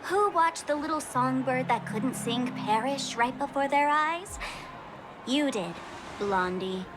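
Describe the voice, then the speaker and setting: A mischievous female trickster from a video game, speaks in a high voice. She is mocking and theatrical, taunting as she goes, with clear music playing behind her. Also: animated, takes it slowly.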